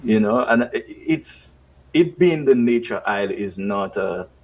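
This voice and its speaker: A man's voice: lowish voice, expressive, measured pace, darkish tone, rough recording. A man with a Dominican accent and a Dominican upbringing, speaking earnestly on a radio talk show as a writer and festival organizer. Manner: earnest, reflective, with restarts. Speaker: writer, festival committee member. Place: radio studio or remote call.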